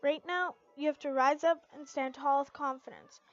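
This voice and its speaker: A woman's voice: high voice, steady tone, normal speed, muffled recording, lightly acted. A woman voicing an emotional, hot-headed teenage schoolgirl who stammers and exclaims, in an amateur story reading. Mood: self-steadying.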